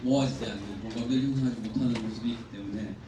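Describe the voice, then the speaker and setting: A man giving a formal, measured academic presentation from slides at a conference, explaining point by point. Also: lowish voice, fairly even intonation. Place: indoor conference hall. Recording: echoey hall, distant mic, faint noise.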